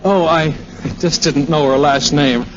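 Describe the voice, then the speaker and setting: An actor playing a breezy, flirtatious army major in a 1940s radio drama, cajoling and slangy. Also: male voice, on the high side, fairly even intonation, a little slow, close to an everyday voice. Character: breezy, flirtatious American army air major, a skirt-chaser. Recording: dull, noisy vintage radio recording.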